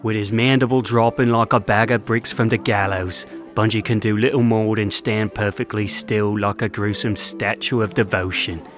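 A man with a lowish voice narrates a scripted story. His delivery is florid and theatrical, suited to an audio play. Music plays clearly behind him. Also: fairly even intonation, normal speed, hardly any pauses.

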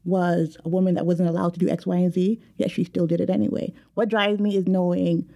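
A woman born in Guyana, speaking in a measured, earnest way about identity in a panel discussion. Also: on the low side, level tone, creaky, mellow. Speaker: panel guest. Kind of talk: reflective personal discussion.

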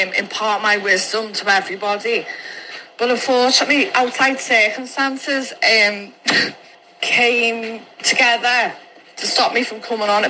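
A woman with a Scouse accent records a casual voice message. Her voice is bright and slightly high, her manner cheeky and blunt, with faint ambient noise behind her. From Liverpool, England. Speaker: podcast guest. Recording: noisy voice message with background ambience.

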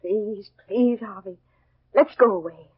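An actress playing an anxious, guilt-ridden wife in 1940s radio melodrama, pleading and fearful, on a dull old recording. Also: female voice, medium pitch, a little slow, husky, dry recording. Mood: frightened, pleading.

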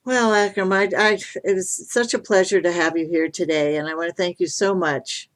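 A woman's voice: lowish voice, steady tone, moderate pace. A woman hosting an interfaith podcast interview, speaking in an appreciative, affirming manner. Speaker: podcast host.

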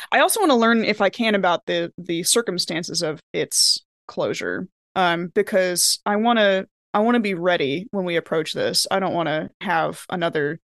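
A woman's voice: on the low side, no echo, clean studio sound. A woman playing a tabletop role-playing game, thinking aloud in casual speech as she plans what her character will do.

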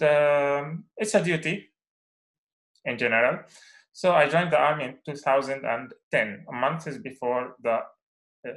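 A man's voice: medium pitch, fairly bright tone, poor audio. A young man from Syria, a native Arabic speaker, giving a personal talk as guest speaker, speaking with frequent hesitations and restarts. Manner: hesitant, many fillers and restarts.

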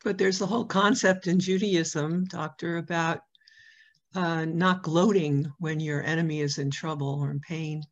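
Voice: medium pitch, steady tone, a little slow. An interviewer asking probing questions, thinking aloud with fillers. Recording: dull lo-fi audio.

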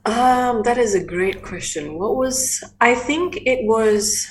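A woman's voice: a little high, slow, slight background noise. A woman guest in an interview who talks thoughtfully, often restarting her sentences, about her playgroup work. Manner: thoughtful, many restarts and fillers. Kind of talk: conversational interview.

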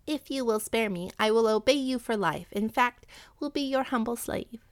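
A woman's voice: a little high, moderate pace, pitched above the performer's own voice, natural voice. A woman voicing an anxious, honourable male rat in a children's story, pleading in wordy, very polite phrases. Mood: pleading, courteous. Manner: pleading, wordy, formally courteous. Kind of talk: children's animal storybook character.

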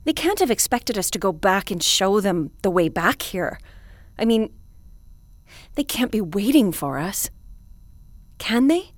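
A woman's voice: mid-range voice, very animated, a little slow, pauses often, dry recording. A woman playing a weary, stranded spaceship commander in an audio drama, earnest and dignified. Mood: distraught.

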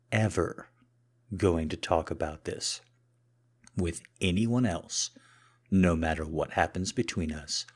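Man acting as a husky, very slow-talking deep-voiced boyfriend. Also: heavily acted. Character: cocky boyfriend, flustered and pleading, turning softly submissive. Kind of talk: intimate solo audio roleplay addressed to the listener.

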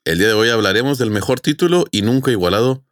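A man's voice: medium pitch, expressive, fast talker, dry recording, studio quality. A man with a Mexican accent, a podcast host telling stories to friends in a jokey, colloquial way. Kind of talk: casual podcast storytelling with friends.

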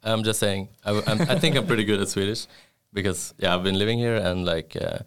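A man's voice: fairly low pitch, brisk, a bit bright, smooth. A man in a casual group chat, talking loosely with lots of "like" and trailing off.

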